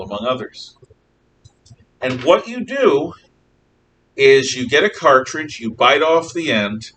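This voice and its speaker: A man lecturing a class as a history teacher, conversational, animated and emphatic in his explanations. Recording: noisy rumbling room, distant mic.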